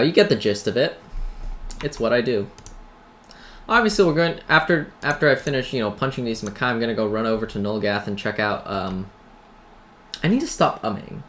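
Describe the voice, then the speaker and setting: A man's voice: mid-range voice, fairly bright tone. A man hosting a gaming video with casual, rambling commentary and tongue-in-cheek, self-deprecating asides. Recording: noisy home mic, keyboard clatter. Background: typing and background noise.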